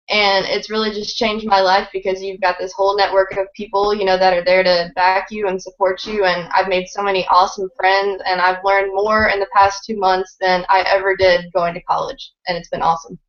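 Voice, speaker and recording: Flat-voiced chatty woman, lo-fi call. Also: mid-range voice, moderate pace. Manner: chatty, informal. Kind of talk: casual online group call. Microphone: computer mic on a video call.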